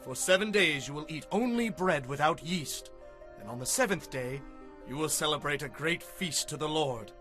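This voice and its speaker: A man's voice: a little high, lively intonation, normal speed, sharp tone, soft background music. A man playing a fervent prophet solemnly addressing his people in a dramatized Bible reading, with a declamatory, emphatic delivery. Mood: solemn, exhorting.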